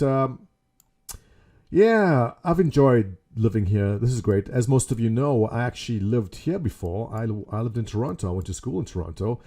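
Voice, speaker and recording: Middle-aged South African man, mellow tone, jokey self-mocking webcam vlogger at a desk mic. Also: medium pitch. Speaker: gaming community leader. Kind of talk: casual webcam vlog. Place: small home room indoors.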